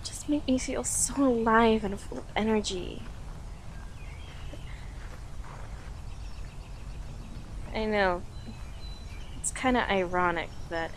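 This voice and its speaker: A woman playing an affectionate, playful girlfriend in an audio roleplay, talking softly and giggly to the listener with lingering pauses. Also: mid-range voice, slight background noise, natural voice. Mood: cheerful, cozy. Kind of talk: audio roleplay, intimate slow-paced monologue to listener.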